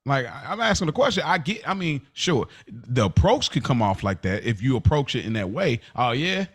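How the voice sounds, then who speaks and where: A man's voice: mid-range voice, very animated, normal speed, slightly rough. Informal man in casual, slangy chat. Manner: informal, slangy, explains by acting out quotes. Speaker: podcast co-host.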